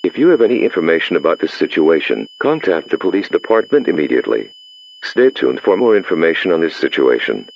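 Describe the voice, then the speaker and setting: A man's voice: deep voice, very animated, fairly quick, vocal fry, exaggerated voice. A man's voice as a stern automated emergency broadcast announcer, reading a formal scripted alert over a lo-fi radio sound. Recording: dull lo-fi radio alert, faint hum.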